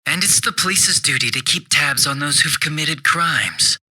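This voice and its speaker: An actor playing a smug, two-faced young police detective in a video game, casual and sarcastic with a taunting, cruel edge. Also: male voice, on the high side, normal speed, pushed and bright, breathy edge, heavily acted. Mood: cold, menacing. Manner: casual, sarcastic, taunting, shifting from breezy to menacing. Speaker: police officer.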